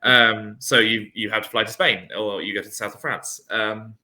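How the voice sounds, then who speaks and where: A man's voice: on the low side, steady tone, moderate pace, sharp tone, poor audio. A British man in a casual conversation, explaining things in a rambling, chatty way. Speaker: guest in a friendly conversation.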